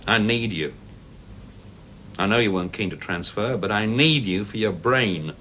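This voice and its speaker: An actor playing a self-assured, paternal research boss, coaxing and persuasive in 1970s television drama style. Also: male voice, on the low side, expressive, moderate pace, pushed and bright. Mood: teasing, persuasive. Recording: faintly hissy old television soundtrack.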